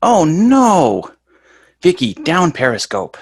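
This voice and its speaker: A man reads the part of a young sitcom son. His mid-pitched voice swings up and down in a dry, close recording. He is reluctant, sarcastic and full of wisecracks. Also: wildly swooping pitch, slow pace, breathy edge, no echo, heavily acted. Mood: excited.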